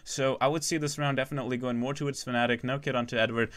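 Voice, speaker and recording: A man casting a competitive shooter match on his own, calling plays quickly, restarting phrases and joking with his viewers between rounds. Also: medium pitch, fairly even intonation, a bit bright. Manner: rapid, chatty, self-interrupting, jokes with chat. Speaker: esports caster. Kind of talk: solo live game commentary.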